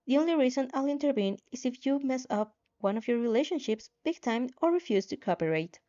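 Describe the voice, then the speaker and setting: A woman's voice: high voice, lively intonation, a little fast, dark tone, highs cut off, voice pushed up. A woman voicing a controlling but casual game-like system, matter-of-fact and slightly teasing in a fan fiction reading. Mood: offhand, controlling.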